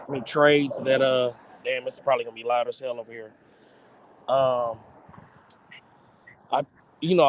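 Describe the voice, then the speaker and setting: A man in his forties co-hosting a podcast, slangy, joking and animated in casual chat. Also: mid-range voice, background noise. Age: 40s. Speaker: podcast co-host.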